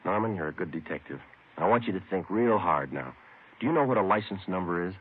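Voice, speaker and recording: A man's voice: deep voice, lively intonation, fast talker, husky. A man with an American accent playing a clipped, matter-of-fact yet courteous police sergeant in a 1950s radio detective drama. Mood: patient, businesslike. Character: brisk, businesslike male police sergeant narrating his case. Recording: tinny old radio with loud music.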